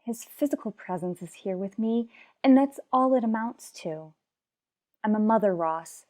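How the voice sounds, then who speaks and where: Actress playing an anguished wife and mother, mellow voice. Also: mid-range voice, expressive, close to an everyday voice. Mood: troubled, pleading. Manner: earnest, measured, dramatic pauses. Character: anguished, unhappily married mother in a soap opera. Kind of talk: radio soap opera acting.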